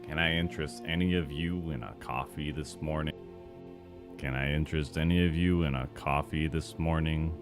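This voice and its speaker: Man acting a very deep, depressed cafeteria worker. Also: over music. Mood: glum, moody. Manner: slow, glum, flat delivery. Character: very depressed, moody, deadpan male cafeteria worker. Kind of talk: animated cartoon voice acting takes.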